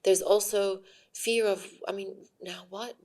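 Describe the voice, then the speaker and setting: A woman's voice: mid-range voice, fairly even intonation, unhurried, darkish tone. A woman hosting a podcast interview, speaking supportively and hesitantly with many fillers. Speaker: podcast host. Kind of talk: conversational interview.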